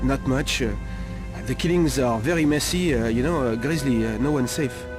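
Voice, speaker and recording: An actor playing a sleazy, nervous Paris street informant in a video game cutscene, talking in a halting, insinuating way. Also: male voice, mid-range voice, over music, natural voice. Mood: wary, uneasy.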